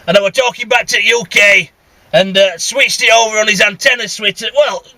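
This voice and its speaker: A man's voice: sits high, fairly quick, sharp tone, no echo, low-quality recording. A man with a Northern English accent rambling casually and bluntly in a vehicle, grumbling and swearing as he goes. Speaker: CB radio vlogger. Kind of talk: casual rambling monologue while driving. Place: inside a vehicle.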